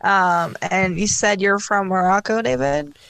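A woman's voice: medium pitch, lively intonation, measured pace, gravelly, a bit bright, slight background noise. A woman from South Korea chatting casually and telling personal stories. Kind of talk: casual chat.

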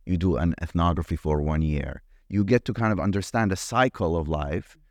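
A man's voice: low-pitched, moderate pace, no echo, clean studio sound. A middle-aged man from Iraq, a professor answering interview questions in a hesitant way, with many fillers and restarts. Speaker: guest, anthropology professor.

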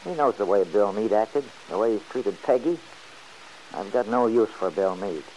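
A man's voice: lowish voice, animated, normal speed, warm tone, lightly acted, low-quality recording. An actor playing a stubborn, good-hearted, folksy man who grumbles plainly in an old-time radio serial. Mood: grumbling. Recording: hissy old radio recording.

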